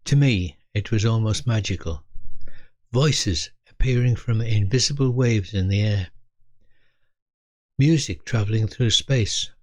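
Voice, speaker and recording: An older man in his eighties tells a reflective life story in a fairly low voice. He speaks in measured, deliberate phrases with small pauses between them. The sound is dry and close, with little room around it. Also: no echo. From Britain. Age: 80s. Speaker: storyteller recalling his youth. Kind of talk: scripted reflective memoir narration.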